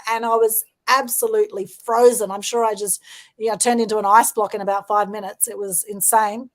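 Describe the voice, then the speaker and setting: A woman's voice: medium pitch, level tone, brisk. A woman hosting an interview over a video call, full of enthusiastic praise. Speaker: show host.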